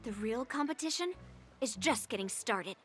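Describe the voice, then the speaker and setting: A woman's voice: on the high side, expressive, moderate pace, a bit bright. A woman voicing a cocky, competitive young girl sword master in an anime game, haughty and boastful, with music behind her. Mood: smug, competitive. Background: game background music.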